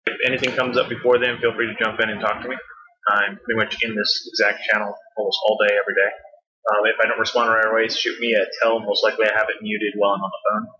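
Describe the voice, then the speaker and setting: A man hosting a casual gaming guild voice chat, relaxed and joking. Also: lowish voice, fast. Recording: lo-fi voice chat audio.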